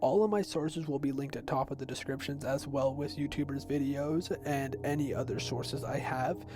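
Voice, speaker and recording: A man's voice: medium pitch, moderate pace. A young man narrating an explainer video essay in an informal, personal way. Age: teens to young adult. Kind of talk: semi-scripted explainer narration. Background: background music.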